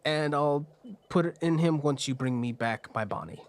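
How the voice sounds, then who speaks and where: A man's voice: on the high side, normal speed, no echo, lightly acted. Man acting a stoic, shady back-alley surgeon. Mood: shaken, brushing off. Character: wicked male back-alley surgeon, stoic when hurt. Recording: music behind the voice.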